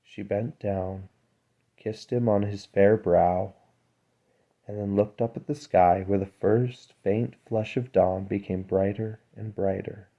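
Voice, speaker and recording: Slow, mellow male storyteller. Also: fairly low pitch, fairly even intonation, gravelly, lo-fi sound. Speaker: storyteller reading aloud. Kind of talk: fairy tale narration.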